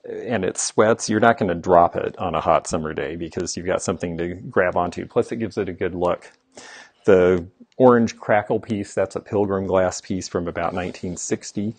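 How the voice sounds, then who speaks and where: A middle-aged man with a deep, low voice walks through a big indoor antique mall and explains the finds as he goes. He sounds like a knowledgeable dealer chatting casually to a close camera. The sound is dry, with little room echo. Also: husky. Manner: knowledgeable, explaining items as he browses. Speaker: antique dealer and show host. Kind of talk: casual walkthrough vlog.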